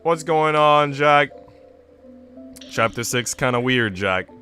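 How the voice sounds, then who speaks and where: Male streamer, relaxed conversational chat with animated pitch, clear music bed behind. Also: takes it slowly. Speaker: streamer reading a visual novel aloud to chat. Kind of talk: casual livestream chat.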